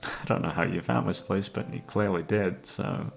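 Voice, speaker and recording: Man playing low, creaky obsessive killer, teasingly polite. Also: steady tone, moderate pace. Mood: amused, gloating. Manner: teasing, mock-polite, theatrical, savouring words. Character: obsessive, flirtatious male serial killer holding a detective captive. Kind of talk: ASMR roleplay acting. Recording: background music under the voice.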